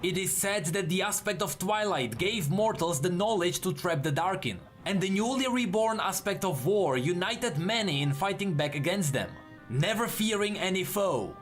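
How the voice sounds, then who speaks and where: Man, high voice, lively pitch swings, dramatic lore narrator, scripted storytelling, faint music behind.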